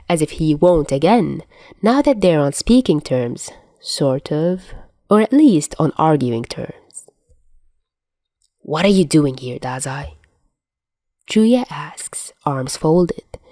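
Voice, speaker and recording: Mellow, lively low-voiced woman narrating fiction. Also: dry recording, clean studio sound. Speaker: fan fiction audiobook narrator. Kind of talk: expressive scripted story narration.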